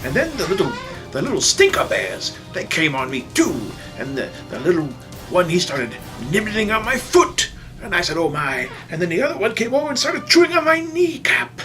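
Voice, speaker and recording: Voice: mid-range voice, very animated, music in the background, close to an everyday voice. A performer voicing a doddering, folksy old man who rambles and stammers through a comic storytime monologue. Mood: dramatic, earnest. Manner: rambling, halting, stammering old-timer delivery. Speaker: storyteller, show host.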